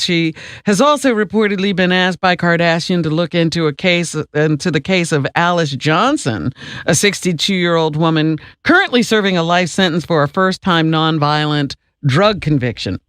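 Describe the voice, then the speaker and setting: Woman, radio news reader and co-host, deliberate emphatic delivery, wry asides, very dry studio sound. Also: on the low side, dry recording. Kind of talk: news reading with casual banter.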